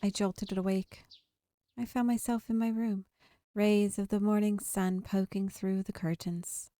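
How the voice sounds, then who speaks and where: A woman's voice: mid-range voice, flat delivery, measured pace, no echo. A woman reading visual novel text aloud in a storytelling manner as a gaming video host. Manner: narrative storytelling read-aloud. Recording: game music behind the voice. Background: soft game music.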